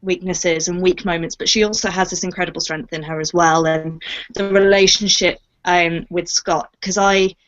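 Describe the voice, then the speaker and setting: A woman's voice: fairly low pitch, fairly even intonation, normal speed, lo-fi sound. A woman who acts in audio drama, chatting reflectively and expressively about her work, stressing key words. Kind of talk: casual Q&A chat.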